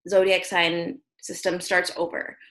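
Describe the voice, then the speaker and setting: A woman co-host talks through astrology in a relaxed, rambling way, full of fillers. Her voice sits a little low and sounds sleepy and slightly raspy, as if recording late at night over a video call. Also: a little slow, rough recording. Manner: chatty, explains astrology with many fillers. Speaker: podcast co-host. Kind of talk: casual chat.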